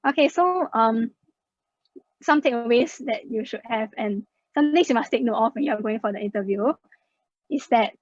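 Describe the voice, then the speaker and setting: Young woman, chatty slide presenter, fairly high voice, dry dull recording. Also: normal speed, dry recording. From Singapore. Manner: chatty, many fillers like "uh" and "like". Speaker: scholarship student sharing her experience. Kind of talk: slide presentation, casual and explanatory.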